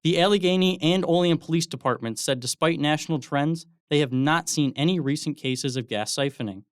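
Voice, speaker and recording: A man's voice: a little high, brisk, clean tone, no room sound, studio quality. A young American man narrating scripted news reports as a student television reporter.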